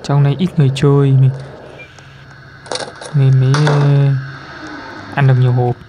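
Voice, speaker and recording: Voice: mid-range voice, flat delivery, moderate pace, mellow, slight background noise, poor audio. A person giving casual, exclamatory commentary as host of a gameplay video. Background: music.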